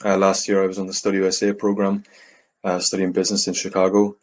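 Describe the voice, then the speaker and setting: Young man with a very deep, flat voice. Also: fast. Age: young adult. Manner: brief, hesitant, many "um"s. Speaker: panelist, final-year student. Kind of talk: webinar panel answer.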